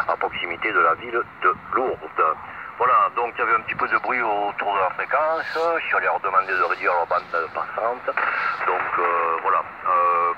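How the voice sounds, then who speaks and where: A man with a very low voice talks as a ham radio operator. His phrasing is halting and casual. The radio signal is dull, with clear noise behind it. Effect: radio transmission. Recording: muffled shortwave radio with static.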